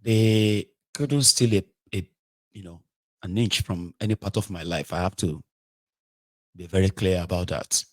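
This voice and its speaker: A Nigerian man in his forties giving an impassioned political monologue, emphatic and rhetorical, jumping between loud outbursts and quiet asides. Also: low-pitched, a little slow. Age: 40s. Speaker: political commentator.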